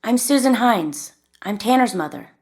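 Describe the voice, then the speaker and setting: Gentle, encouraging mom for podcast drama, played by a woman: higher register, careful and concerned. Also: lively intonation, moderate pace, natural voice. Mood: polite, affectionate. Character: concerned, supportive mother of a teenage son. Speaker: actress in a scripted podcast drama. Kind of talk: naturalistic audio drama acting.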